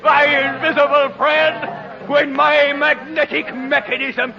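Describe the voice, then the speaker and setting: An actor playing an imperious, ranting mad scientist who poses as a god, in melodramatic old-time radio style. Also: male voice, very high voice, level tone, slow pace, gravelly, full character voice. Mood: gloating, crazed. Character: megalomaniac male mad scientist posing as a god. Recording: muffled vintage radio, crowd noise behind.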